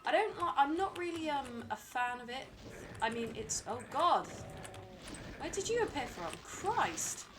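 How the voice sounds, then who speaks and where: A woman's voice: high voice, sing-song, measured pace, background noise. British woman chatting through a live game. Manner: chatty, self-talking, dry asides. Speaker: streamer. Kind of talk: live gameplay commentary.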